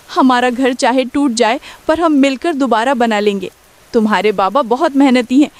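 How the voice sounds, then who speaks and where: A woman's voice: high-pitched, darkish tone, close to an everyday voice. A woman playing a poor, caring and protective village mother in a cartoon story. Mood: comforting.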